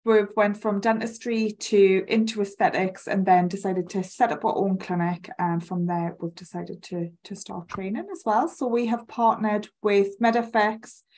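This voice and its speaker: Female co-presenter, forties, unhurried pace, informal webinar tone. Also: medium pitch, darkish tone. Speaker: dental hygienist co-presenting a webinar. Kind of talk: informal self-introduction in a webinar.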